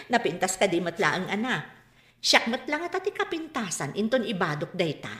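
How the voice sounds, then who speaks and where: A woman's voice: medium pitch, big pitch swings, normal speed, fairly bright tone, roomy sound. An actress with an Ilocano Filipino accent playing a vain, boastful mother in a radio drama. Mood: proud, amused. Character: vain, boastful mother proud of her own beauty.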